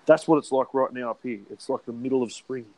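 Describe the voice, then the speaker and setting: A mid-pitched Australian man in easy podcast banter. He rambles in a blokey way with casual swearing and the odd laugh between words. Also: quick pace, warm tone. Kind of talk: casual chat.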